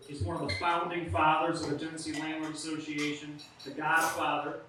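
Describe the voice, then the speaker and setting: A man's voice: a little high, monotone, fairly quick, background noise. A man who is the president of an association hosts an in-person meeting and introduces the board, talking loosely with plenty of fillers. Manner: casual, loose, with many fillers. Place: meeting venue room. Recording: very echoey room, distant mic, crowd behind.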